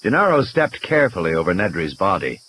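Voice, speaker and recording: A man's voice: low-pitched, sing-song, fast, fairly bright tone, a little hiss or hum, low-quality recording. A man reading scripted dramatic story narration as an audiobook narrator.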